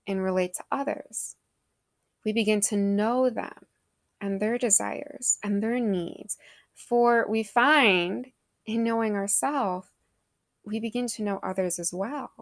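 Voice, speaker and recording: A woman's voice: mid-range voice, no room sound, clean studio sound. A young adult woman giving a faith reflection in an earnest, conversational, thoughtful way. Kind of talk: devotional talk.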